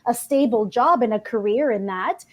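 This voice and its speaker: A woman podcast guest from a Colombian family, telling her personal story conversationally in an animated way. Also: a little high, moderate pace. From Colombian household.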